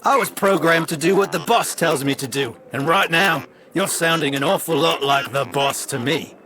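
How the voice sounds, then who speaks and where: A man's voice: a little high, moderate pace, crowd noise, close to an everyday voice. A man playing a rusty, obedient robot crook in an audio drama, speaking in a plain, dry, deferential way. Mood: dry, loyal. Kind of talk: scripted audio drama acting.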